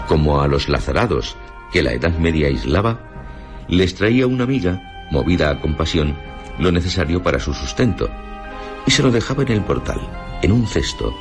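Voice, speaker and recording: Very deep, solemn Spanish storyteller. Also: male voice, heavily acted. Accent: Spain. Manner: solemn, dramatic, literary delivery. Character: grave older male storyteller recounting a tragic village tale. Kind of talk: Spanish radio drama storytelling.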